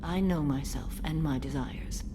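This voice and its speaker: An ancient alien warrior-knight from a sci-fi game. Her voice is on the low side, stern and serene, with formal, measured delivery. Ambient noise is clearly heard behind her. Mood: serene, reflective. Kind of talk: dramatic game dialogue.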